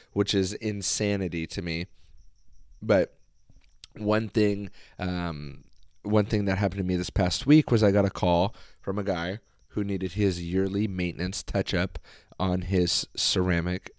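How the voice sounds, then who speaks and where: A man hosting a podcast, talking casually and hoarsely with his voice nearly gone, rambling with fillers and restarts. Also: sits low, darkish tone, dry recording, clean studio sound. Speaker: podcast host. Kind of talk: casual solo podcast talk.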